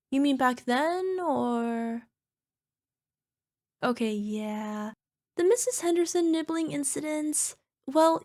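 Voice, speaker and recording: High-pitched, playful little cyclops girlfriend played by a woman. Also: heavily acted. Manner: evasive, cutesy excuses, drawn-out wheedling. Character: playful, sheepish flying cyclops monster girlfriend, small and defensive. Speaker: voice actor. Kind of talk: ASMR girlfriend roleplay.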